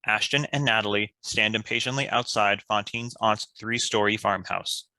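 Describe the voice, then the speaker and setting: Casting: male scripted narrator, crisp bright tone, slightly low, dry recording. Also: fairly even intonation, normal speed, no echo, low-quality recording. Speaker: stage-direction narrator.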